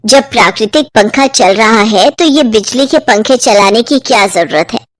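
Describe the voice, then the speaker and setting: A woman's voice: a little high, lively intonation, normal speed, lightly acted. A woman voicing a stingy, scolding middle-aged mother-in-law in a cartoon, with a bossy, penny-pinching way of talking. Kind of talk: cartoon voice acting.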